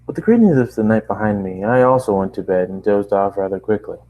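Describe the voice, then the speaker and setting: A man's voice: on the low side, animated, fast talker, narrow, tinny audio. A man reading a first-person story aloud as an amateur narrator, often tripping over lines and starting them again. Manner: stumbles over lines and rereads them, apologising. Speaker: amateur fiction reader and narrator.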